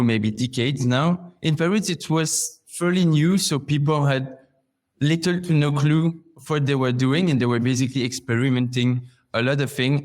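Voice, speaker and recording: Man, from Belgium, podcast guest, medium pitch, conversational interview answers. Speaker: podcast guest, customer success manager.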